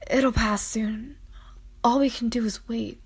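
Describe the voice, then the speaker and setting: A woman playing an anxious, soft-hearted teenage girl in an amateur audio drama, speaking in a hushed, tentative way. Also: on the high side, fairly even intonation, takes it slowly, breathy edge, exaggerated voice. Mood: worried, soft.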